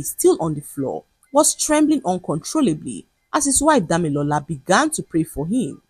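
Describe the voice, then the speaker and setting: Lady telling a folktale, big pitch swings, music playing behind her. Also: breathy edge, no echo. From Nigeria. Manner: dramatic, expressive storytelling. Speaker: storyteller. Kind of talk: scripted folktale narration.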